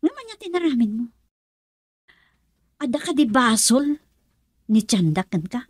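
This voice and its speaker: An indignant woman in a Filipino radio drama, reproachful and emotional. Her voice is husky and Filipino-accented, recorded close and dry. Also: on the high side, sing-song, a little slow, a little mellow, no room sound. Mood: indignant, accusing. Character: indignant woman scolding a friend's lover.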